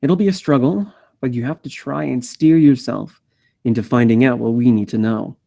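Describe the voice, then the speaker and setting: A man reading a grave, protective male character at a screenplay table read, measured and understated. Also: mid-range voice, vocal fry, mellow. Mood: grave, reassuring. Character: grave, protective male Rabbit, steady and reassuring.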